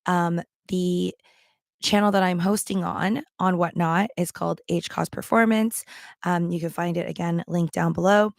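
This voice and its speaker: Raspy-voiced woman chatting to camera. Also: on the low side, fairly even intonation, normal speed, no echo, clean studio sound. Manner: rambling, with many ums and likes; talking around a throat candy. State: strained, worn voice. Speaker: livestream seller and vlogger. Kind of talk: casual chat to camera.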